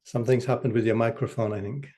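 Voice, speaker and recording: Calm mellow-voiced man from England. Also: medium pitch, fast. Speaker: guest speaker. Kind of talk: casual talk on a video call. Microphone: computer mic on a video call.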